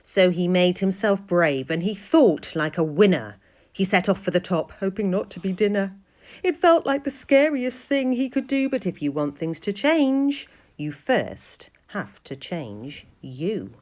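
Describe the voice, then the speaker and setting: Female storybook reader, unhurried, very varied intonation, dramatic emphasis for young listeners. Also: medium pitch, sing-song, darkish tone. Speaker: primary school teacher reading a story. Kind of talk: expressive children's storybook reading.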